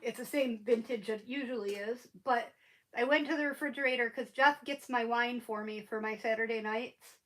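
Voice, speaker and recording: Woman, high voice, sniffly head cold, chatty and rambling, casual livestream host. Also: moderate pace, a bit bright, rough recording. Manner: chatty, rambling, laughs often.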